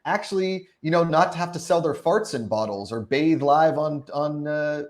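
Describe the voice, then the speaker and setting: Male host, voice a little higher than average, informal and jokey live talk show banter. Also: lively intonation, moderate pace.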